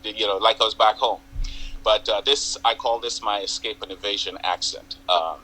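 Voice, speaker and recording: Older jamaican man, low voice, bright forceful tone, pauses and restarts often. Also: fairly even intonation, low-quality recording. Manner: measured, halting storytelling with restarts. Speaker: guest, community association president. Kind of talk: interview on local TV.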